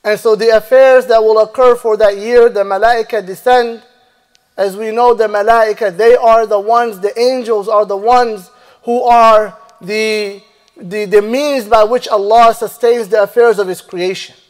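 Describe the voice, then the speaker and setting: A man in his thirties preaches a Friday sermon from the mosque pulpit with a high, clear voice. He speaks into a stand microphone in a measured preaching cadence. The long reverb of the prayer hall trails each phrase. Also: steady tone. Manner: measured preaching, recites Arabic verses rapidly then translates slowly. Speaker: imam. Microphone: stand mic and lapel mic.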